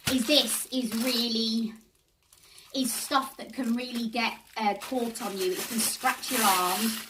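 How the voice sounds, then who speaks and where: A woman's voice: on the high side, far from the mic, noisy background. A woman hosting a casual hands-on craft tutorial, chatty and talking to herself as she works. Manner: chatty, self-talking while working.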